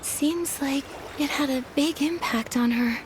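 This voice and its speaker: A woman's voice: high voice, unhurried, noisy background. A woman voicing a kind young heroine in a video game, speaking politely and sincerely. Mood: sympathetic. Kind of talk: video game character dialogue. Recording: game music behind the voice.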